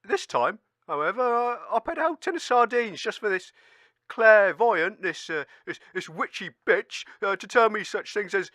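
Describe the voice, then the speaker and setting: Casting: indignant, easily fooled man acted by a man, comic radio grumbler, swooping high voice, stumbling rant. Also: animated, sharp tone, no room sound, clean studio sound. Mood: indignant, deluded. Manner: rambling, halting complaint. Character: gullible, indignant one-legged wasteland man.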